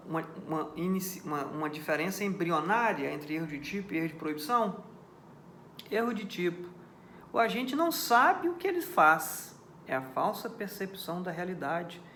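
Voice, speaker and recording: A high-pitched Brazilian man teaching a law class in a didactic way, repeating his key points and stopping often to ask whether listeners understand. His voice carries the echo of a reverberant room with faint background ambience. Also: bright, forceful tone, a little hiss or hum. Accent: Brazilian Portuguese. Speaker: law lecturer. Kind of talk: recorded lecture on criminal law.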